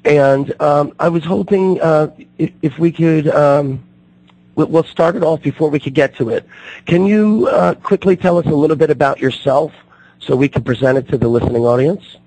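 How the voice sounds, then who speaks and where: Radio host guy, voice shot and coming back, gravelly and husky, lots of uhs and restarts. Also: fairly high pitch, darkish tone, no echo, low-quality recording. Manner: halting, with many fillers and restarts. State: recovering from losing his voice. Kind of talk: casual radio talk show hosting.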